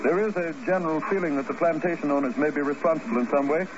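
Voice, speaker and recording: An actor playing a polite, deferential military captain with a formal, hesitant manner in 1950s radio drama style. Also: male voice, fairly high pitch, quick pace, natural voice. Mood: formal reporting. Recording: noisy, muffled old radio recording.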